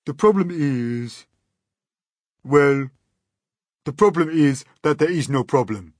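High, husky, doom-laden earthworm. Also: male voice, animated, takes it slowly, no echo, studio quality, heavily acted. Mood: gloomy. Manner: melodramatic, indignant, argumentative. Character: gloomy, irritable blind male earthworm, forever complaining of doom.

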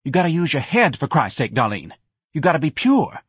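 A man's voice: fairly high pitch, very animated, brisk, breathy edge, no room sound, studio quality, pitched above the performer's own voice, full character voice. A man voicing a hard-nosed, scornful woman who runs a nightclub, snapping out crude orders in an audiobook. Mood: bossy, impatient. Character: hard-nosed, bossy, scornful female nightclub owner Lana.

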